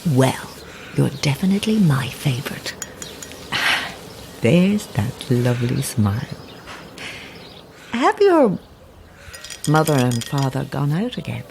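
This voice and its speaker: A woman's voice: a bass voice, sing-song, slow pace, creaky, pauses often, background noise, exaggerated voice. An actress playing a sweet, cooing elderly woman neighbour with a faintly sinister undertone in a naturalistic audio horror drama. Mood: affectionate. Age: older. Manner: cooing, coaxing, grandmotherly. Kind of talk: naturalistic immersive radio drama acting.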